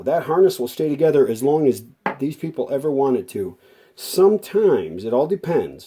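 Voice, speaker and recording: A man giving a casual, hands-on workshop explanation as a hobbyist restorer, haltingly thinking aloud as he works. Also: mid-range voice, warm tone. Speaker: hobbyist radio restorer and video maker. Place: indoor home workshop at a workbench.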